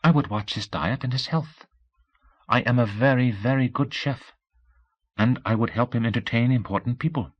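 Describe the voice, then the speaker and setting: A blunt, shrewd young woman, poised and softly spoken, who gets straight to the point, put on by a man reading an audiobook. Her voice sits well above the reader's own deep tone. Her questions are pointed and inquisitive. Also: moderate pace, muffled recording, lightly acted. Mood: candid. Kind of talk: audiobook character voice.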